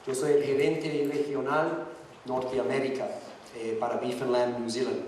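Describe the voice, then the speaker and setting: A man's voice: mid-range voice, little pitch movement, darkish tone, far from the mic, slight background noise. Hesitant man in an echoey hall. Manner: hesitant, halting non-native Spanish. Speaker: guest speaker. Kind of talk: conference talk, partly read from notes. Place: large conference hall.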